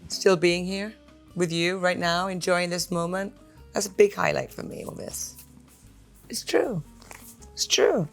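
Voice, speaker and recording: A middle-aged woman with a Welsh accent chatting animatedly in a quick question game, gasping and playfully emphatic. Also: fairly low pitch, lively intonation, vocal fry, soft background music. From Wales. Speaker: actress, interview guest. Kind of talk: casual rapid-fire chat.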